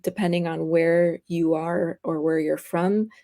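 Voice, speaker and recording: A woman's voice: lowish voice, fairly even intonation, a little slow, dark tone. A young woman guest chatting casually in an interview, rambling with frequent laughs. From United States, raised partly in France. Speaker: interview guest, graphic artist.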